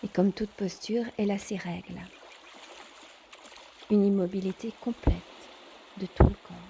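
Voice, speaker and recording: A woman teaching yoga in a calm guided instruction style, measured, pausing between short phrases. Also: mid-range voice, vocal fry, background noise.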